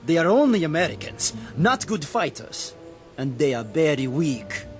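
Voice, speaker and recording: An actor playing a deferential, earnest army sergeant in a radio drama, speaking respectfully. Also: male voice, a little high, big pitch swings, normal speed, faint music behind. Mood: dutiful, eager.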